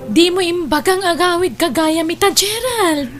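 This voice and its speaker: A woman's voice: very high-pitched, animated, normal speed, soft background music. A woman playing a caring, chatty older sister in an Ilocano audio drama. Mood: caring, curious.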